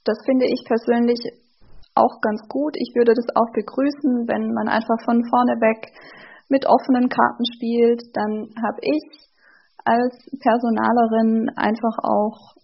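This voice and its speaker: A woman who works as an HR recruiter, speaking in measured, fluent sentences as a radio guest. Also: on the high side, level tone, vocal fry, husky. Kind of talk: radio interview. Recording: dull lo-fi radio sound.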